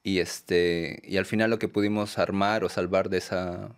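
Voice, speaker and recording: Peruvian guy, lowish voice, talks soft and hesitant, lots of "no?" and "este". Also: fairly even intonation, moderate pace, smooth. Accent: Peruvian Spanish. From Peru. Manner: soft-spoken, hesitant, many fillers and tag questions. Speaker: documentary filmmaker, guest. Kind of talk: radio interview answers.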